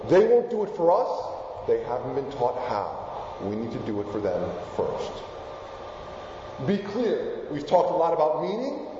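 A man from Argentina gives an animated talk in a large, echoing hall. His voice sits somewhat high but sounds mellow and warm. The recording is dull, and faint room noise sits underneath. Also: a little slow. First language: Spanish. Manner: animated, asks the audience questions. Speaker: keynote speaker. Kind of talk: lively presentation to a live audience.

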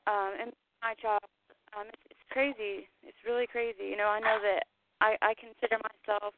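A woman's voice: mid-range voice, little pitch movement, normal speed, no room sound. Hesitant pregnant woman on the phone. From Tennessee. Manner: hesitant, many fillers and restarts. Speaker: guest. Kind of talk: casual chat. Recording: tinny phone line, dry.

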